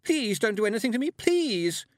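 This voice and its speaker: A frightened young witch from a storybook played by a male narrator. Her voice leaps very high and swings about as she pleads and burbles, hesitant and easily flustered. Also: sing-song, normal speed, no room sound, clean studio sound. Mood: terrified pleading.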